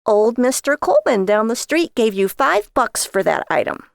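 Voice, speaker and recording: A woman's voice: on the high side, sing-song, moderate pace, vocal fry, no room sound, studio quality. A woman voicing an exasperated, nagging wife in a story, sarcastic and scolding toward her husband.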